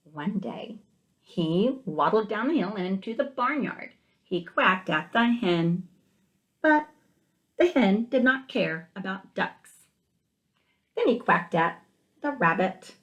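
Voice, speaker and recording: A woman teacher reading a picture book aloud to young children, expressively, with gentle questioning asides to the listeners. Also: mid-range voice, expressive, slow pace, long pauses, dull audio.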